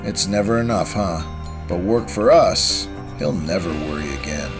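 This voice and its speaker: A man's voice: low voice, animated, moderate pace. A man playing a sinister, mocking billionaire villain in a dramatized comic, with a taunting, theatrical delivery. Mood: condescending. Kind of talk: dramatized comic book voice acting. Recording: music behind the voice. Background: clear background music.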